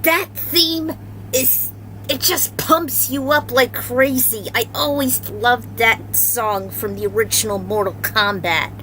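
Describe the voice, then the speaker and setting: A woman's voice: on the high side, slow pace, bright, forceful tone, slight background noise, poor audio. A woman hosting and reading a story aloud in a casual, informal, unpolished way. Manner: informal, unpolished, swears freely. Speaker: host and reader of own fan fiction series.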